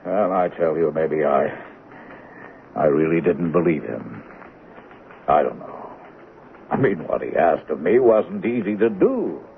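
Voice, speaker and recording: An actor in a 1970s radio drama playing a regretful man who speaks in a hesitant, halting way. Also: very deep voice, animated, creaky, exaggerated voice. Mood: regretful, uneasy. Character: regretful, hesitant man giving testimony to police. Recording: tinny narrow-band 1970s radio recording, noisy.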